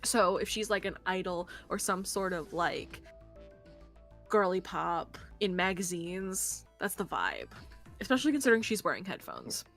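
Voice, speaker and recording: A young woman co-hosting a game stream with casual, animated, rambling commentary peppered with "like". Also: lowish voice, normal speed. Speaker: streamer co-hosting a visual novel playthrough. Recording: background music under the voice. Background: background music.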